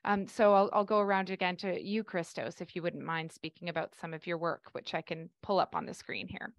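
Measured woman moderating, dry close sound. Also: on the low side, little pitch movement, vocal fry, dry recording. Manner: friendly, measured, uses fillers. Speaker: curator and moderator. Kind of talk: moderating a panel.

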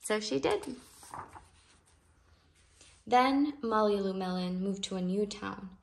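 A woman's voice: medium pitch, slow, vocal fry. A woman reading a children's picture book aloud expressively, stretching key phrases with emphasis. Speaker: storybook reader. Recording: noisy home recording with clicks.

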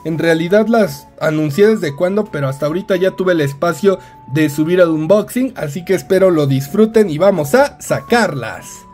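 A man's voice: high-pitched, normal speed, a little mellow, faint music behind. A man with a Mexican Spanish accent giving a candid, chatty unboxing review of collectible figures. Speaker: toy reviewer.

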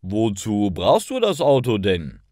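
A man voicing a helpful male friend in a learner story, speaking with assurance and clear diction. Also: fairly low pitch, wildly swooping pitch, measured pace, mellow, dry recording, studio quality. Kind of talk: acted dialogue in a learner story.

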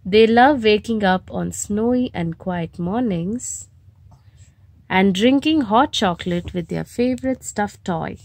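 A woman's voice: medium pitch, slow, darkish tone, dry recording. A woman reading a picture book aloud to young children at home, putting questions to them as she goes. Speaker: storybook reader and host of children's story time. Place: home bedroom, indoors.

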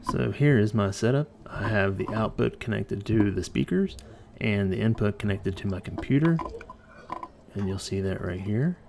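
A man's voice: fairly low pitch, expressive, moderate pace, creaky, noisy background. A man presenting an informal hands-on tech tutorial, explaining plainly step by step.

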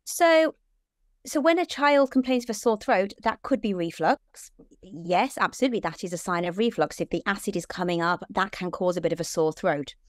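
A British woman in her forties, a children's lung doctor, explaining clearly and steadily on a video call from a room at home. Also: mid-range voice, animated, normal speed, dry recording, studio quality. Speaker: paediatric respiratory consultant. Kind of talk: answering questions in an online talk.

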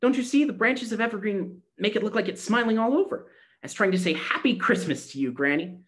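A man reading a storybook aloud, voicing a cheerful, eager poor little girl with a bright, pleading tone. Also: high-pitched, fairly quick, voice pushed up. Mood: bright hopeful. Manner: bright, excited, breathless pleading.

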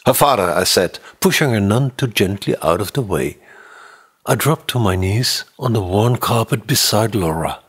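A man in his sixties with a husky voice, giving a tense, dramatic audiobook reading of a mystery novel close to the microphone. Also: fairly low pitch, expressive, moderate pace. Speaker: audiobook narrator. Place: indoor room, office or home studio. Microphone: close condenser microphone on a boom arm.

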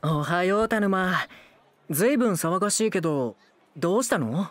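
An actor playing a playful, affectionate and lazy young shogun who wheedles and pouts theatrically in a comic anime drama. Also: male voice, high-pitched, lively intonation, moderate pace, no echo. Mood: teasing. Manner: sing-song wheedling, theatrical pouting. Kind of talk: anime voice drama comedy acting.

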